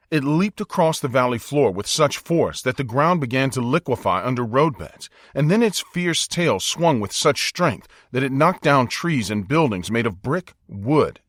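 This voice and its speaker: Animated American male audiobook narrator. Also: mid-range voice, normal speed, no room sound, studio quality. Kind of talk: dramatic scripted narration.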